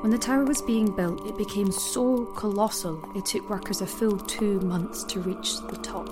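A woman playing a solemn chronicler of a colossal tower, narrating scripted audio fiction in a measured, grave manner. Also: mid-range voice, music in the background, natural voice.

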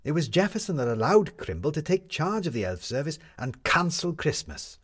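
A man's voice: a little high, very animated, moderate pace, husky, pitched above the performer's own voice. A man voicing a commanding, wry woman reporter in a story, articulate and sardonic as she lectures. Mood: scornful.

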